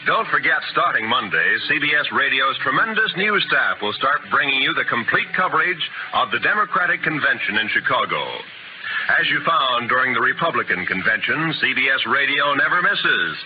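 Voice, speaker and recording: A man's voice: medium pitch, very animated, a little fast. A man announcing in the style of 1950s network radio. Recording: muffled, noisy old radio broadcast.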